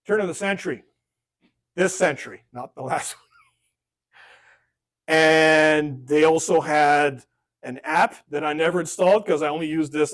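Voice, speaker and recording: A man teaching a casual class on online safety, chatting with jokes and acting out dialogue. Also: fairly high pitch. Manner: conversational, joking asides, acts out dialogue. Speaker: workshop instructor. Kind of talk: informal classroom lesson.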